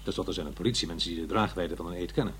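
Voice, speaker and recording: An actor playing an uneasy, defensive middle-aged divorced father in a radio drama, confiding in a halting, conversational way. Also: deep voice, a bit bright. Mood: guarded, questioning. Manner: halting, hesitant, self-interrupting. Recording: faint noise and hum.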